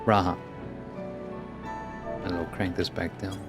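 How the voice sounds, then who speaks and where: A man with a fairly low voice streams a game with music clearly playing behind him. He is casual and joking, tossing out short reactions as he plays. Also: level tone, slow pace. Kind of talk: casual playthrough, reading subtitles and reacting.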